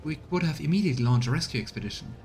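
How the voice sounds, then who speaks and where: A man voicing a deferential high factotum in a video game, reporting gravely in courtly, measured language. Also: animated, darkish tone, a little hiss or hum. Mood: dutiful.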